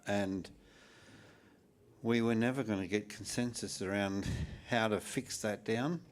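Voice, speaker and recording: A man in the audience who calmly explains the reasoning behind a standard, in a measured way. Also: lowish voice.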